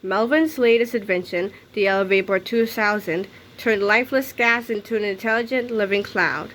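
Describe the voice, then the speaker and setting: A woman reading a children's comic book aloud in an animated storytelling style. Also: mid-range voice, moderate pace, low-quality recording. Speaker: story reader.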